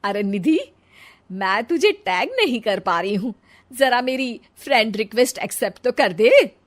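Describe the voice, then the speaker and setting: A woman's voice: a little high, expressive, a little slow. A woman voicing a cheerful, bossy middle-aged village mother-in-law with modern ways in a cartoon story, teasing and exclamatory. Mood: proud, teasing.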